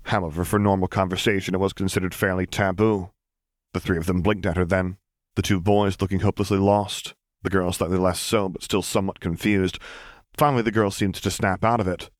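A man's voice: low-pitched, level tone, brisk, no room sound, clean studio sound. A man reading scripted fiction as an audiobook narrator.

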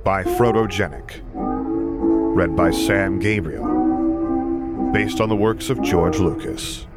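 Low-voiced man narrating a story. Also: animated, takes it slowly, loud music behind the voice. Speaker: audiobook narrator of fan fiction.

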